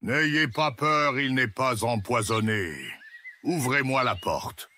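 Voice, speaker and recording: Man putting on a sharp, theatrical big bad wolf. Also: medium pitch, slow pace, slight background noise, pitched above the performer's own voice. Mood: falsely sweet. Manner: theatrical, cajoling. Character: big bad wolf, sly, hungry, wheedling villain. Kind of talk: children's cartoon storytelling.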